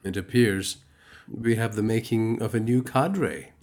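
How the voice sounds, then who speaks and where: A man playing a calm, wise alien elder in a science fiction audio drama, speaking in a measured, formal, philosophical way. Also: lowish voice, soft background music. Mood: composed, helpful.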